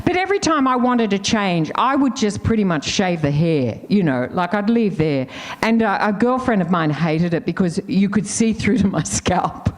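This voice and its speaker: A woman's voice: medium pitch, expressive, moderate pace, hardly any pauses. An older woman with an Australian accent, telling candid, laughing stories as a panelist. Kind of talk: casual storytelling on a panel.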